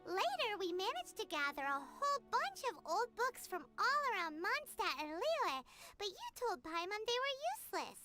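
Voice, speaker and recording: A woman voicing a tiny, chirpy, curious fairy guide with a squeaky childlike voice in an anime-style video game. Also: sing-song, moderate pace, hardly any pauses, sharp tone, soft background music, exaggerated voice. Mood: inquisitive. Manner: refers to self in third person, exclamatory.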